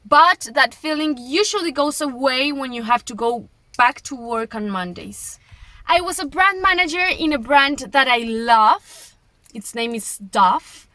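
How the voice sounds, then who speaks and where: A woman's voice: high-pitched, expressive, unhurried, pushed and bright, no echo. A young woman from Colombia with a Colombian accent and Spanish as her first language, talking casually to camera as a travel vlogger. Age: young adult.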